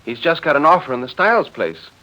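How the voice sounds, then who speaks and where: A man voicing a poised, genteel suburban wife who coaxes softly and hesitantly, in 1940s radio drama style. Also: a little high, big pitch swings, fast, pitched above the performer's own voice. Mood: genteel, coaxing. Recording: dull vintage 1940s radio recording.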